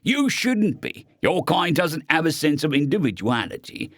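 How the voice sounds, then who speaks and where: A man plays a robotic alien exterminator in an audio story. He talks bluntly and with menace, tinged with disbelief. His pitch is lively and emphatic, the recording dry. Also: moderate pace, no echo. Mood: stern, puzzled. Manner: blunt, threatening.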